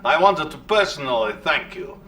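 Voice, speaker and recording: An actor playing a gracious, grandly diplomatic Soviet premier in a video game cutscene. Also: male voice, fairly high pitch, animated, normal speed, pushed and bright. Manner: formal, gracious. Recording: slightly distant, faint background noise.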